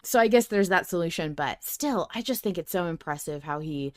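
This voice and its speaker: A woman's voice: lowish voice, expressive, fairly quick, dry recording, studio quality. A woman hosting a podcast in casual, enthusiastic, effusive conversation. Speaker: podcast host.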